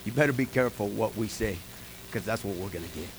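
A man's voice: on the low side, fast. Middle-aged male pastor, folksy and emphatic, light hiss in the room. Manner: folksy, emphatic, talks to the congregation directly. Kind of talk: informal sermon to a small group.

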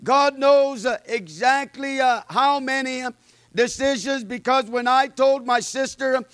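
A fervent male preacher with a very high, bright, forceful voice that rings smooth and clear. He is loud by his own account and scatters amens and fillers through his testimony. The sound is dry and close. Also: measured pace, dry recording. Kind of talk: sermon with personal testimony.

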